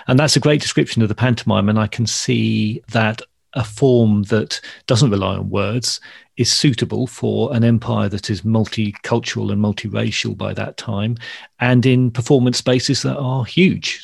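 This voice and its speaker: A man hosting an interview podcast, summarising and responding to his guest in a measured, appreciative conversational way. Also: lowish voice, creaky, slightly rough, no echo, studio quality. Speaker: podcast host.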